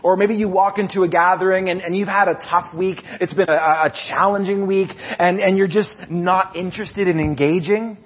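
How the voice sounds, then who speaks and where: A man with a loud voice preaching a casual, animated sermon, restarting his sentences often and poking fun at himself. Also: high voice, normal speed, few pauses, husky, muffled recording. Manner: animated, self-deprecating, many restarts. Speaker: preacher and music leader. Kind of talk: conversational sermon.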